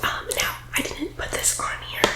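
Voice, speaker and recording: A woman doing a soft-spoken, whispered ASMR comedy roleplay as a pushy, self-important MLM boss, with condescending, salesy patter. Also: normal speed.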